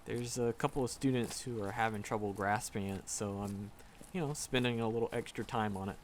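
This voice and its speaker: A man's voice: fairly low pitch, steady tone, a little hiss or hum, lightly acted. A man playing a shy, flustered magic professor in a roleplay audio drama, stammering and nervous as he talks to a secret crush. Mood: shy, friendly. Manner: nervous, halting, stammering, rambling. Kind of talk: roleplay audio drama, intimate one-sided dialogue.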